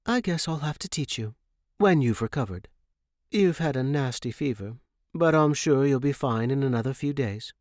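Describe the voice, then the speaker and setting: Man voicing a brisk, bossy but caring woman, pitched up. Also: expressive, a little mellow, no echo, studio quality, close to an everyday voice. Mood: teasing scolding.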